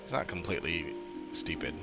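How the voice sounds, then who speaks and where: A man's voice: low voice, a little fast. A man giving casual, musing live commentary as he streams a video game. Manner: musing, reactive. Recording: game music behind the voice.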